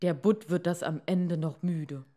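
A woman acts out an anxious fisherman husband from a storybook. He is henpecked and pleading, with slow, hesitant speech. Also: fairly low pitch, warm tone. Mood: anxious, reluctant.

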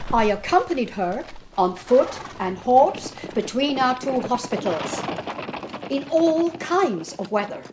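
A woman's voice: fairly high pitch, background noise. A woman performing a devoted, plain-spoken Irish soldier telling his story earnestly in a dramatic poetry monologue.

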